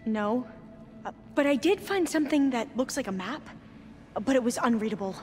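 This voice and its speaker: A woman's voice: a little high, lively intonation, normal speed, faint music behind, natural voice. An actress playing an earnest, reverent young woman scholar in a video game, speaking formally and carefully. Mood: uncertain. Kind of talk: video game dramatic acting.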